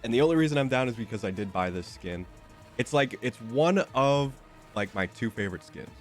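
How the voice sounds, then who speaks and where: A man's voice: mid-range voice, lively intonation, brisk, soft background music. A young man with a stuffy, slightly nasal voice, chatting casually and rambling with lots of fillers while streaming a game. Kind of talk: casual chat while gaming.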